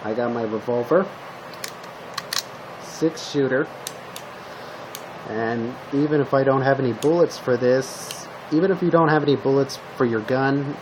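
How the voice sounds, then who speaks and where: A man playing a zombie apocalypse survivor, talking casually and haltingly as he gives matter-of-fact survival advice in an improvised roleplay. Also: mid-range voice, natural voice. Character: male zombie apocalypse survivor giving tips to a guest. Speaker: roleplay video host. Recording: steady background hum, noisy home recording.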